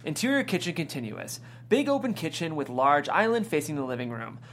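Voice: medium pitch, big pitch swings, brisk, a bit bright, slight background noise. A narrator reading out stage directions in a scripted style at a table read.

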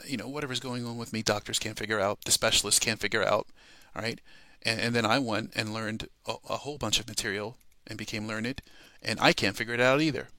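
A man speaks with a gravelly fry and a sharp, bright edge, recorded close and dry. He gives an informal devotional talk as a ministry podcast host. His delivery is earnest and emphatic, punctuated by short checks with the listener. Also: mid-range voice, no echo. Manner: earnest, emphatic, often checks with "okay?" and "all right?".

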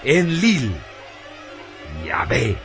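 A man narrating a radio drama from a script in a solemn, deliberate, theatrical way. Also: medium pitch, sing-song, fairly bright tone, faint music behind.

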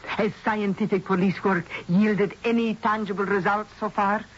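An actress playing a serene, persistent psychic medium in a radio mystery, formal and theatrical. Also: female voice, medium pitch, steady tone, moderate pace, lightly acted. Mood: persuasive. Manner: formal, grandiose, unflappable. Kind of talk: old-time radio mystery drama acting. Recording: dull, noisy old radio broadcast recording.

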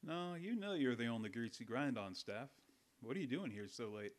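An actor playing an easygoing, teasing office colleague in an old-time radio drama, with a casual, offhand manner. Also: male voice, medium pitch, lively intonation, moderate pace, lightly acted.

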